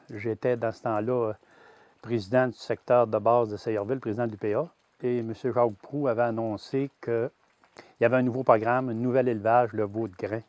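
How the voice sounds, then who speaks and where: A man in his sixties with a Québécois French accent, a farmer giving a plain personal testimony, pausing often with fillers and restarts. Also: mid-range voice, a little mellow, dry recording. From Quebec. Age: 60s. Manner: hesitant, many "euh" fillers and restarts. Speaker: grain-fed veal farmer.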